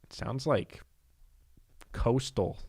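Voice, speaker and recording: A man's voice: mid-range voice, drawn-out words, vocal fry, breathy edge, no room sound. Young man, dry close-mic podcast chat. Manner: relaxed, laughs often, reads out lists with asides. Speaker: podcast co-host. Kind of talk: casual chat.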